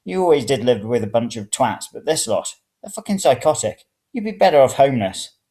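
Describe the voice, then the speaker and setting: A man's voice: mid-range voice, very animated, fairly quick, mellow. A man reading the part of a brash, blunt bloke in a story, with exclamatory delivery. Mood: angry. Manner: blunt, exclamatory, foul-mouthed. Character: brash, sweary young bloke, the narrator's mate.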